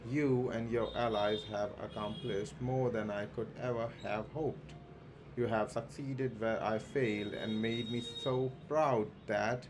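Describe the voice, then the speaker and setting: A man livestreaming a game, reading its dialogue aloud haltingly, with stumbles and self-corrections. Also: medium pitch. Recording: clearly noisy background.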